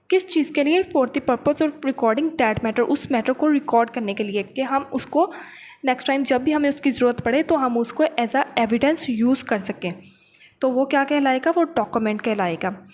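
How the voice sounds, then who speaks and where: High-voiced Pakistani woman teaching law. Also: darkish tone. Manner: didactic, repeats and rephrases points, poses questions and answers them. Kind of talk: explanatory lecture mixing English legal terms, reading clauses and explaining them. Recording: noticeable background noise.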